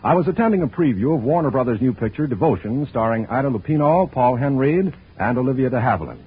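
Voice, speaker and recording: A man working as a radio announcer, reading a scripted 1940s sponsor pitch in a husky voice. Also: expressive, quick pace, slight background noise. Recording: thin, narrow old radio recording.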